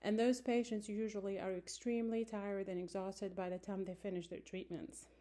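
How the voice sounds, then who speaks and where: Woman, 40s, from Morocco, warm dark tone, unhurried, fillers and restarts, small room. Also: medium pitch, flat delivery. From Morocco, raised in France. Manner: unhurried, many fillers and self-corrections. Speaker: student introducing herself. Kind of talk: casual self-introduction to a class. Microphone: phone held close.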